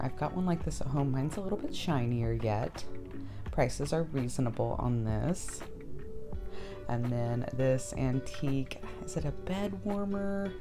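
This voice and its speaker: Woman with a really deep, soft-toned voice chatting casually for her vlog, light music behind. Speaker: vlog host. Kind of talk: casual shopping vlog narration.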